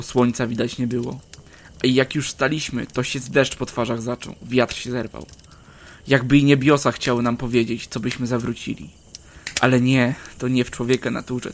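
A man voicing an aging war veteran who tells travelers about his first battle in measured, old-fashioned storyteller speech. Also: medium pitch, natural voice. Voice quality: measured, archaic storyteller's delivery.

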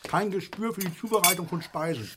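Actor as a pompous, high-pitched father. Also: fairly quick, noisy background, lightly acted. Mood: sarcastic, scolding. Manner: pompous, lecturing, sarcastic. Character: pompous, nagging middle-aged father at the breakfast table. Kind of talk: naturalistic German radio play acting.

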